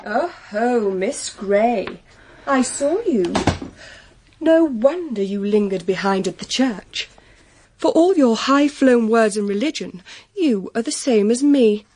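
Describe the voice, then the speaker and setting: High-voiced haughty English young lady. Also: lively intonation, takes it slowly, a little mellow, a little hiss or hum. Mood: taunting. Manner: imperious, teasing, affected. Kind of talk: period radio drama acting.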